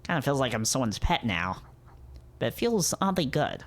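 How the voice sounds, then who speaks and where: A man's voice: mid-range voice, big pitch swings, normal speed. A man voicing an anthropomorphic wolf, a wry and grudging date in a visual novel. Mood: wry, bashful. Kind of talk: visual novel character read-aloud.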